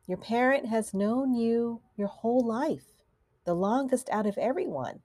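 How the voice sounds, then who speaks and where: A woman who is a counselor hosting a grief podcast, talking gently and in a measured way, a little stuffy from allergies. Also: mid-range voice, dry recording, studio quality. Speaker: podcast host and licensed counselor. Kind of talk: solo podcast talk.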